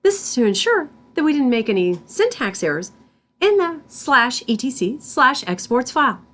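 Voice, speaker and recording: A woman instructor reading scripted tutorial narration in a deliberate, step-by-step manner. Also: sits high, sing-song, fairly bright tone. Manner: deliberate, spells out terms symbol by symbol.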